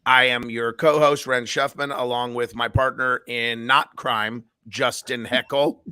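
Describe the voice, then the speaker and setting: An American man with a slightly raspy voice co-hosting a lighthearted morning show, bantering casually in a joking, teasing way. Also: medium pitch, fairly even intonation, measured pace, bright, forceful tone, no room sound.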